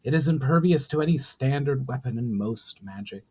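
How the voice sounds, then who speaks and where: A man voicing a solemn, cryptic female spirit of fire in a dramatic fantasy reading, with formal, measured, old-fashioned speech. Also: medium pitch, lively intonation, darkish tone, breathy edge. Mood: grave, instructive. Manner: formal, measured, archaic phrasing.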